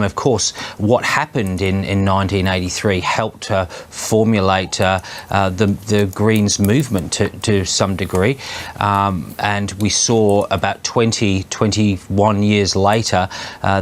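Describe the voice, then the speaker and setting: Aussie guy doing live politics commentary, lowish voice, kinda halting. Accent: Australian. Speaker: political journalist.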